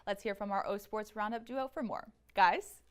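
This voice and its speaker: A young woman anchoring a student sports show with smooth scripted delivery. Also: medium pitch, normal speed, noisy background. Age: young adult. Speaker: studio anchor. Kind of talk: scripted newscast. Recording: music bed under voice.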